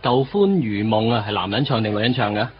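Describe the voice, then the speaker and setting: A man's voice: lowish voice, a little fast, natural voice. An actor playing a glib married buddy in his late twenties in a radio drama, lecturing chattily and emphatically about life in Hong Kong Cantonese. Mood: opinionated. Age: 20s. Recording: dull lo-fi radio recording. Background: faint music.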